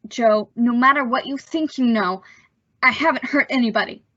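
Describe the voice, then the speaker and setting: A woman's voice: on the high side, normal speed, bright, forceful tone. A woman playing a nervous, evasive young man with a hesitant manner in an old-time radio suspense drama. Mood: anxious, pleading. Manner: hesitant, stammering under pressure. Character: nervous young man, evasive impostor telling his story. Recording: dull, lo-fi audio.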